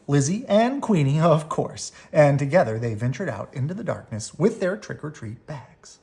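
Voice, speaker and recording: A woman reading a children's bedtime story aloud in expressive narration. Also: low voice. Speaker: storybook reader.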